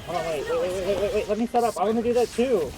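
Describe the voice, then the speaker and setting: A game streamer giving casual, excitable, slangy reaction commentary during a playthrough. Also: mid-range voice, rapid-fire. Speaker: live streamer playing a game. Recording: game music behind the voice.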